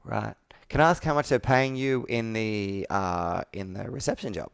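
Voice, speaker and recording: Clear-voiced man, earnest and persuasive. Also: on the low side, moderate pace, no echo, clean studio sound. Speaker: business coach. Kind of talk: sales call roleplay, personal storytelling. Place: indoor room with whiteboard, video call.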